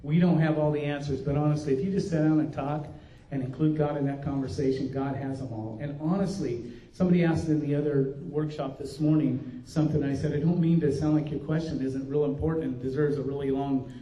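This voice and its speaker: A man's voice: on the high side, steady tone, a little fast, mellow. An older man, a pastor, giving an earnest, folksy workshop talk with frequent restarts. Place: conference room. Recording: dull, roomy recording from a distance.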